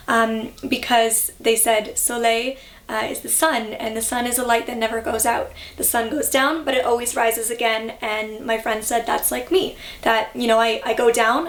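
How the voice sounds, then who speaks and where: A woman's voice: a little high, fairly bright tone. Chatty young woman in an echoey room. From Canada. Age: young adult. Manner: chatty, reflective, many fillers. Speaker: vlogger. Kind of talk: casual vlog talk.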